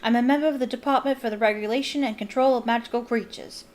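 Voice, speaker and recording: A woman's voice: a little high, steady tone, fast, lightly acted. A woman voicing a stern male ministry official who barks his questions. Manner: stern, interrogating.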